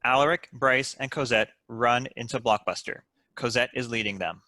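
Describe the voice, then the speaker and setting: Bright, crisp-edged man narrating a script. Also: mid-range voice, moderate pace, no echo. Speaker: narrator reading stage directions. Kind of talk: scripted narration at a table read.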